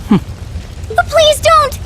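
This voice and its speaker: A woman playing a gentle teenage noble girl in a video game, polite, emotional and pleading. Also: very high voice, extremely slow, faint music behind, full character voice. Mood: distraught. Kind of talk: dramatic video game acting.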